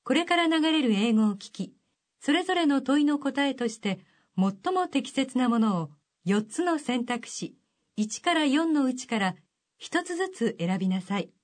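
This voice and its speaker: A woman with a high voice reads exam instructions in a formal, measured tone. Her delivery is steady and official. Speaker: exam announcer. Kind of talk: reading test instructions.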